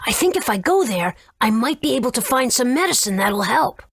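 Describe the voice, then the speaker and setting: A woman's voice: on the high side, big pitch swings, a little fast, a bit bright, slightly rough, no echo, studio quality, full character voice. A woman voicing a scrappy, caring young boy in a video game, speaking in a casual, earnest way. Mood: casual, worried.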